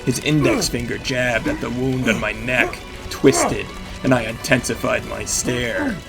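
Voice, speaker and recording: A man's voice: mid-range voice, very animated, a little slow, vocal fry. An actor playing a battered vigilante who narrates his own story in intense, clipped phrases, in the style of an audio drama. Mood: intense, brutal. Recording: music behind the voice. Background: dramatic music.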